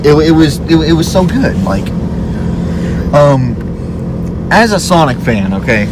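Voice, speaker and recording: A man's voice: on the high side, big pitch swings, measured pace. A man hosting a casual group movie review, talking to camera emphatically and repeating himself for stress. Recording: loud traffic and vehicle noise.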